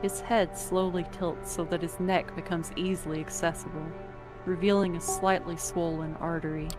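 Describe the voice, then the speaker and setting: Woman narrator, slightly low pitch, scripted second-person storytelling, clear music bed. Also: fairly even intonation, normal speed. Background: music under the voice.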